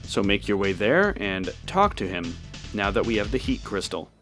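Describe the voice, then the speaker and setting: Man, fairly low voice, casual game walkthrough host, music playing behind. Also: big pitch swings, moderate pace, fairly bright tone.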